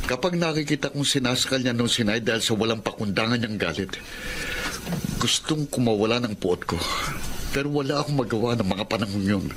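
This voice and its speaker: An actor playing a grown son who speaks with bitter resentment in a radio drama. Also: male voice, medium pitch, fairly quick, noisy background, natural voice. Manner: bitter, brooding.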